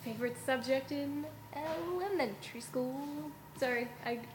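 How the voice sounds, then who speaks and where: A young woman asking quick playful questions in a casual group interview, bubbly and upbeat. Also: high-pitched. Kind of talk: casual Q&A chat. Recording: echoey room, faint background hum.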